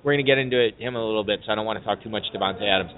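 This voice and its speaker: A man hosting a casual fantasy football podcast live from an event. He talks fast, jokes around and keeps the talk moving with questions. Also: lowish voice. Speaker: podcast host. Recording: phone-like narrow sound.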